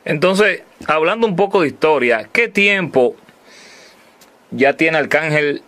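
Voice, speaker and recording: A man's voice: on the high side, expressive, takes it slowly, fairly bright tone. A man hosting an interview, welcoming a guest and asking questions with short acknowledgments. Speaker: interview host.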